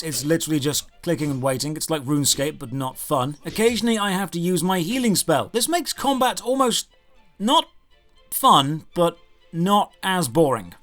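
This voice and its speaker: A man with a fairly high voice narrates a comic video game review over soft background music. His delivery is dry and sarcastic, clearly scripted, and spoken as a close voice-over. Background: game music under the voice.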